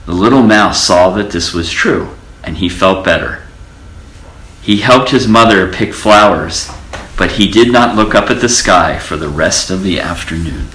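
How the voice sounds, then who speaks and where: A man reading a children's storybook aloud as an expressive storyteller, in an echoey room. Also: low voice, a little slow. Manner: expressive storytelling with character voices. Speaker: storybook reader.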